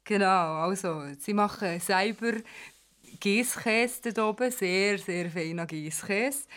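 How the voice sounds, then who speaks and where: A woman's voice: medium pitch, takes it slowly, a bit bright. A woman with a Swiss German accent, a radio guest explaining things in an easygoing way. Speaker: studio guest. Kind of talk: casual radio chat.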